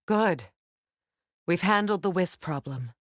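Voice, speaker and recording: A woman's voice: fairly low pitch, lively intonation, very slow, fairly bright tone, no echo, exaggerated voice. A fierce goddess and mother from a fantasy video game. She is proud and wounded by grief, speaking with grave, commanding intensity in a close, dry recording. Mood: serious, measured.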